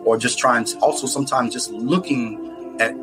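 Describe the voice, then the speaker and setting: An American man being interviewed about his book, speaking in an earnest, reflective and halting way. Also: mid-range voice. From United States. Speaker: author guest. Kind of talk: podcast interview. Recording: music playing underneath.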